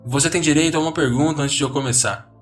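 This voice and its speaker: A man's voice: on the high side, steady tone, fast, distant mic, soft background music, voice pushed up, natural voice. A man voicing a contemptuous, jaded man in his thirties who mocks newcomers with weary scorn. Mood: sneering contempt. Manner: sneering, mocking, world-weary.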